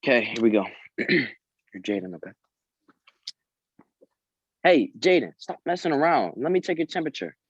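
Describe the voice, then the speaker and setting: A man of medium pitch reads from a script and prompts other readers. The sound is close and dry, with the slightly dull band of call audio. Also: big pitch swings, normal speed, long pauses, slightly rough, no echo. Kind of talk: table read, reading lines and coaching. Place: video call table read.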